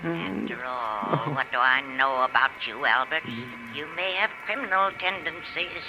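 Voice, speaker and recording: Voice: medium pitch, highs cut off, background noise, natural voice. A performer playing a vengeful, taunting mother-in-law haunting a nightmare in old-time radio drama style. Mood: taunting, menacing. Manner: drawn-out, taunting, threatening.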